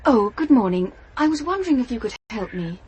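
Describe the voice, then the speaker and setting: Earnest young detective. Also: female voice, fairly high pitch, very animated, fairly quick, noisy background. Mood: polite. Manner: earnest, thoughtful, literate narration. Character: earnest, observant, determined young female private detective. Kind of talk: radio drama dialogue and first-person narration.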